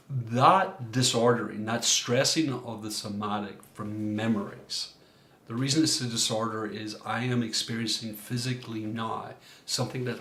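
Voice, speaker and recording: A man's voice: lowish voice, a bit bright. A middle-aged man from Ireland, a coach giving a recorded workshop talk in a reflective way, often searching for his words. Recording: roomy echo.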